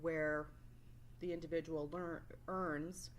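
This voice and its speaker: A woman's voice: sits low, level tone, slow, slight background noise. A woman teacher giving a scripted, explanatory training presentation as a voice-over. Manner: instructional, explanatory. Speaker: work-based learning resource teacher.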